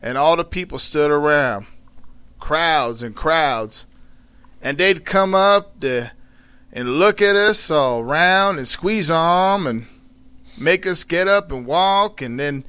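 A man voicing an elderly, proud and sorrowful formerly enslaved mother, telling her story in halting folksy dialect. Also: a little high, animated, bright, forceful tone, smooth, heavily acted. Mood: sorrowful, defiant. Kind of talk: dramatic read-aloud of a dialect tale.

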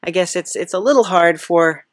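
Female podcast host, lowish pitch, dry sound, fumbling and bemused through an improvised comedy interview. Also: dry recording. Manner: hesitant, halting, bemused, chuckling delivery.

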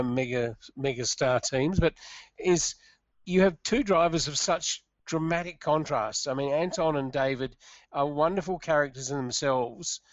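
A man's voice: mid-range voice, dry recording, lo-fi sound. A man interviewing on the radio, asking leading questions with some hesitant fillers.

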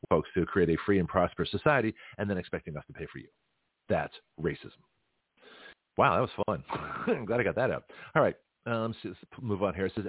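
A deep, husky-voiced middle-aged man talks fast and loose as a radio host, doubling back on himself and chuckling between points. His voice is dry and close, squeezed through a thin phone-like line. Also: no echo. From Canada. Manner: rambling, with frequent restarts and chuckles. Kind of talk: casual political talk radio monologue. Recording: tinny phone-line audio.

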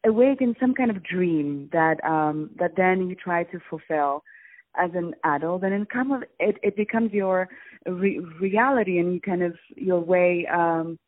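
A woman from Poland, an actress, being interviewed over the phone, answering thoughtfully with many ums and pauses. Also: on the low side. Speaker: guest actress. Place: on a phone call. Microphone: telephone. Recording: tinny phone line, dry.

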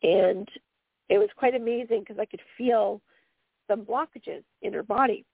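Gravelly Canadian woman on a phone line. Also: medium pitch, unhurried, no echo. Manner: meandering, earnest, frequent fillers. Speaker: radio co-host and medium. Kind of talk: casual radio talk. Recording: dry tinny phone line.

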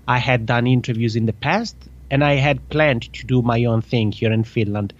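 A man's voice: medium pitch, lo-fi sound. A man with an Italian accent, a native Italian speaker in his thirties, chatting cheerfully as a podcast guest. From Italy. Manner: cheerful, chatty, quick with laughs. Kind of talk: casual interview chat.

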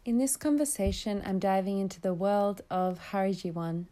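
A woman's voice: medium pitch, moderate pace, warm tone. A woman hosting a podcast, giving a casual introduction in an earnest, effusive way. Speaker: podcast host.

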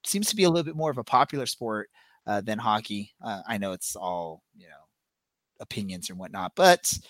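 A man's voice: lowish voice, fairly quick, a bit bright, no echo. A man hosting a casual podcast, teasing his co-hosts in an upbeat way. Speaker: podcast host.

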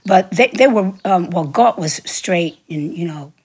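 A woman singer and stage actress, a guest recounting her career in a relaxed interview, laughing often and repeating words for emphasis. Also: lowish voice, a little slow, slightly rough. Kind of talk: relaxed reminiscing interview talk.

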